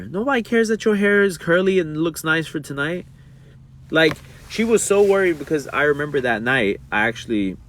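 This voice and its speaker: A young man talking casually to his viewers about marriage and faith, reading a passage aloud and breaking into slangy, animated asides. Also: on the low side. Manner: animated, rises sharply when worked up. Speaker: streamer talking about religion and relationships. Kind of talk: casual monologue.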